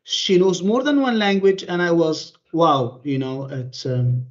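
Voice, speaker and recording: Guy presenting at a conference over a call, higher voice, lots of uhs and restarts, muffled dull audio. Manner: halting, many fillers and restarts.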